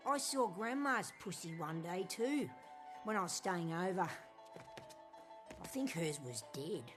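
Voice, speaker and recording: A woman playing a cheeky, blunt, foul-mouthed young boy with an Australian accent in a film comedy-drama. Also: medium pitch. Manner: deadpan, frank, innocent.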